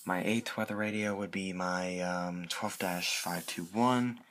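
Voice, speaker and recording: A man presenting his hobby in a casual, unscripted show-and-tell, halting and correcting himself as he goes. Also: low-pitched, steady tone. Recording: clearly noisy amateur home recording.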